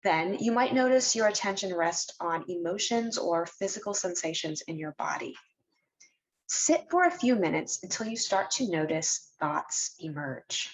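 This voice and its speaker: A woman narrating a scripted guided meditation in a measured, instructional way, leaving deliberate pauses between phrases. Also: on the low side, expressive, poor audio.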